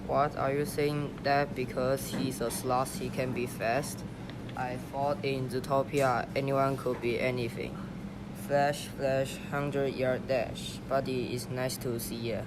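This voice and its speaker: A man's voice: medium pitch, flat delivery, takes it slowly, close to an everyday voice. A man playing a sly, teasing, easygoing animal hustler in an amateur radio play. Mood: breezy, sly. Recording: very noisy amateur room recording.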